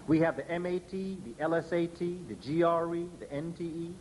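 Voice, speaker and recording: Emphatic lecturing man, slightly raised pitch. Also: a little mellow, slight background noise. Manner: measured, emphatic, spelling out letters slowly. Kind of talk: public lecture.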